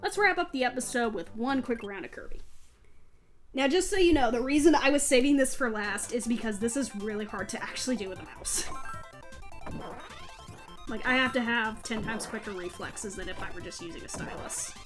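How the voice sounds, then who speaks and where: High-voiced woman doing chatty game commentary. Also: lively intonation, moderate pace. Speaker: let's play host. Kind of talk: casual gameplay commentary. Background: video game music.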